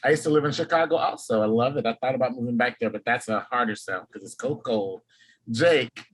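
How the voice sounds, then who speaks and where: A man's voice: medium pitch, a little fast, slightly rough. A man from Los Angeles hosting an online workshop, cheerfully calling on each participant by name. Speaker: workshop host. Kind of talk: video call introductions. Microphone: video call.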